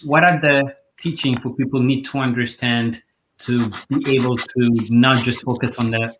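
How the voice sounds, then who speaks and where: A man hosting a live online talk show, speaking earnestly with halting phrases and fillers. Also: mid-range voice, steady tone, a bit bright, slight background noise, low-quality recording. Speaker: host.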